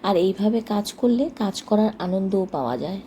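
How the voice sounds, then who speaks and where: A woman's voice: medium pitch, lively intonation, brisk, mellow, slight background noise. A woman narrating a casual daily household vlog as a homemaker, chatting to her viewers. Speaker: homemaker vlogger.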